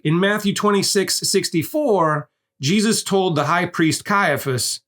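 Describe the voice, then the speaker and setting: Higher-pitched man, animated scripted explainer. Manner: didactic, emphatic, poses questions and answers them. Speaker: narrator of a Bible prophecy course.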